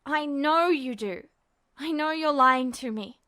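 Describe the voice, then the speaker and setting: Woman voicing a desperate, defiant young man, high-pitched. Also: unhurried. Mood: shaky defiance. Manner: stammering, shaky, forceful outbursts.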